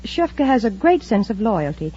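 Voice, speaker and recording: A woman's voice: medium pitch, very animated, normal speed, warm tone, a little hiss or hum, lightly acted. An actress playing an imperious, scheming female crime boss in a 1950s radio serial. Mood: smoothly deceptive. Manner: haughty, commanding, smooth when lying. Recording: muffled old radio recording.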